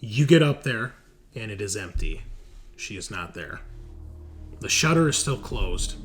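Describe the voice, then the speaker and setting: A man's voice: on the low side, very animated, measured pace, fairly bright tone, soft background music. A man narrating a tabletop roleplaying game as game master in a casual, conversational way.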